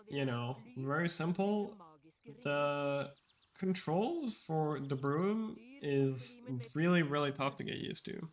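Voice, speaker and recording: A man giving relaxed live commentary on his own speedrun, explaining tricks in a casual, rambling way. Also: a little high. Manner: casual, explanatory, frequent fillers and restarts.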